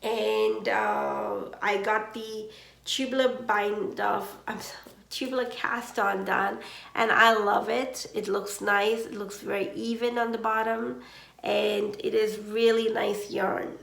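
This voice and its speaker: Slow-talking woman with a gravelly voice. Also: medium pitch, level tone, echoey room. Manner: slow, gentle, with many ums and uhs. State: congested from seasonal allergies. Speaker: knitting podcast host. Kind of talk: casual chat. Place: home.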